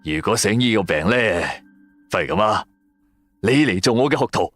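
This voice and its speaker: A man's voice: fairly low pitch, expressive, normal speed, fairly bright tone, faint music behind, voice pushed down. A man playing a sly, threatening tomb robber who poses as a gold prospector, coaxing and commanding, in an audio drama. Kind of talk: Cantonese audio drama storytelling.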